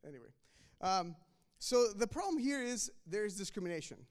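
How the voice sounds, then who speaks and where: A man's voice: sits high, sing-song, normal speed. A man from Mexico, preaching a sermon in a conversational way, often restarting and repeating words. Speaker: pastor.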